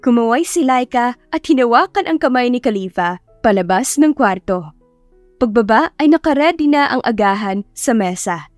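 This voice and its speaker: A Filipino woman narrates a scripted drama in a fairly high voice. The sound is close and dry, with faint music underneath. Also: lively intonation, moderate pace, dry recording. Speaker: audiobook narrator. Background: soft background music.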